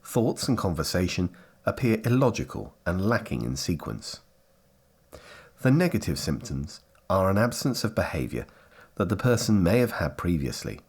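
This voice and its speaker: Very deep-voiced male training narrator. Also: big pitch swings, normal speed, a little mellow, husky. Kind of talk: scripted instructional narration.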